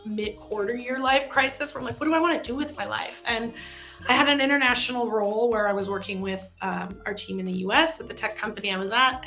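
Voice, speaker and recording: American woman in her thirties, bright and fairly high voice, chatty guest with faint music behind. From United States. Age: 30s. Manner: chatty, self-deprecating, lots of fillers. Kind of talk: casual video chat.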